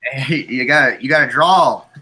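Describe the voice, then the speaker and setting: Minnesota guy on a live video call, bright kinda husky voice, laughs a lot. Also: mid-range voice, takes it slowly, low-quality recording. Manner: laughs often, easygoing. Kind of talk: casual chat.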